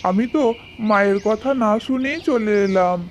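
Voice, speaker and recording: A woman's voice: medium pitch, moderate pace, slight background noise, natural voice. A woman voicing a naive, kind, earnest village boy in a cartoon fairy tale. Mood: musing. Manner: earnest, childlike.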